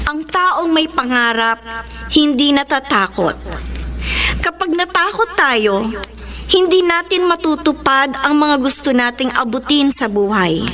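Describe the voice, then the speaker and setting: High, slow-speaking earnest young Filipina. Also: female voice. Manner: heartfelt, deliberate delivery. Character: grateful young woman graduate, tender and earnest. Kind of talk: Tagalog radio drama acting. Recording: music under the voice.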